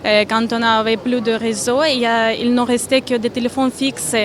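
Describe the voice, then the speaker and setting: A woman's voice: a little high, little pitch movement, moderate pace, crowd noise. A woman from Ukraine with a Ukrainian accent, answering earnestly and searching for words in French. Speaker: interviewee. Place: outdoor fair stand.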